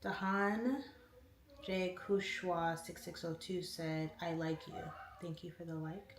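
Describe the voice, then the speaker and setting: A woman's voice: lowish voice, fairly even intonation, slow. A woman hosting a casual livestream, reading out viewer comments and answering each one. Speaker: livestream host. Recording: echoey room, faint noise.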